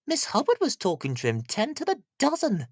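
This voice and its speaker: Man putting on a high, chatty postmistress. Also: wildly swooping pitch, brisk, no room sound, studio quality, full character voice. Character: kindly, chatty village postmistress.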